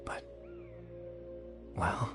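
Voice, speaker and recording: An actor playing a soft-spoken, melancholy young man in a video game, speaking in a hesitant, reflective, measured way. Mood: sad, resigned. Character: gentle, melancholy young man, dead since boyhood, soft-spoken illusion. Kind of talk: scripted video game character acting. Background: music.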